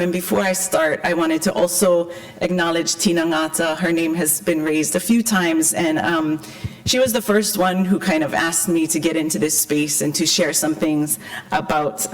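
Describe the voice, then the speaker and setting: Croaky woman giving a public talk. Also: fairly low pitch, steady tone, normal speed, low-quality recording. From Hawaii. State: croaky, hoarse voice. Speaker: invited panel speaker.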